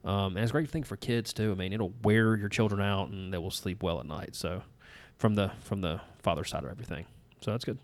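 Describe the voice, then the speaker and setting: A man's voice: lowish voice, a little fast, hardly any pauses. A man, co-hosting a podcast in a folksy, rambling, self-deprecating way. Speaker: podcast co-host. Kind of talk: casual chat.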